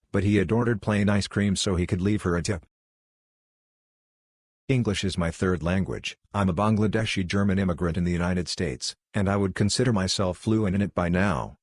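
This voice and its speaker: A man reading online forum posts aloud in a plain, even manner. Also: low voice, no echo, clean studio sound.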